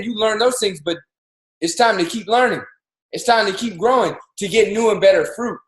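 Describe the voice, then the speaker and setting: A man in his thirties giving an energetic, preachy motivational talk as co-host of a livestreamed show, pushing his voice up on key points. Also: high voice, echoey room, poor audio. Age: 30s. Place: home in Miami, livestreamed call. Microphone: video call.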